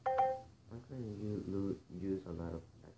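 Very low-voiced teasing young man. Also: wildly swooping pitch, a little slow. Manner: teasing, boastful trash talk. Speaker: gameplay co-player. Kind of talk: casual chat over a game. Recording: noisy home recording.

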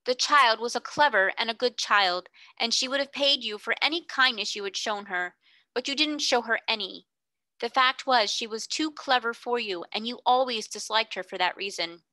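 A woman's voice: expressive, normal speed, pushed and bright, no room sound. A woman reading voices a timid middle-aged schoolmistress who breaks into tearful hysterics. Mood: reckless courage. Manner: tearful, pleading, rising to shrill outbursts.

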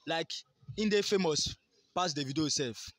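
A man's voice: on the high side, normal speed, no room sound. A young man with a Nigerian accent, answering a casual street interview in a rambling, boastful way. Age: young adult. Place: outdoors by a garden wall. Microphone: phone held near the mouth by interviewer.

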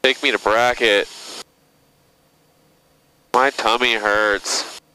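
A man working as a flight instructor talks in a low, bright voice. He coaches casually, with teasing and mock groans. He is on a headset intercom in a small plane, with light engine noise behind him. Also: fairly even intonation, drawn-out words, poor audio. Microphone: aviation headset.

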